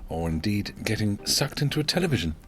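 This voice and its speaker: A writer speaking in a behind-the-scenes interview, eager and full of restarts. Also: male voice, lowish voice, sing-song, quick pace. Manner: enthusiastic, stammering restarts.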